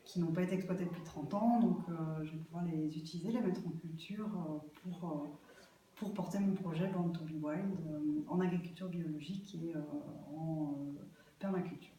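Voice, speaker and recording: A woman from Belgium giving spontaneous interview answers as a guest, thinking aloud with hesitant pauses. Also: on the low side, warm tone, slight background noise. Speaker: interview guest, aspiring herb grower. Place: echoey festival venue. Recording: very echoey room, distant microphone.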